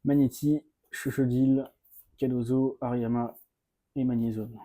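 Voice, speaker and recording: A man's voice: mid-range voice, little pitch movement, a little slow, dark tone, low-quality recording. A man co-hosting a casual card unboxing, playful and hesitant, laughing often. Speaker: shop co-host opening card packs.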